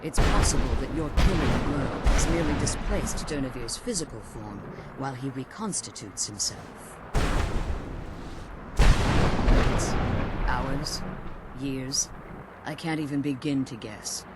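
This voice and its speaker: An actress playing a stern, protective ancient vampire mother in a video game, speaking in formal, measured, eloquent phrases. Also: extremely low pitch, lively intonation. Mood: grave astonishment. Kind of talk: video game character acting. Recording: very loud battle-like noise behind.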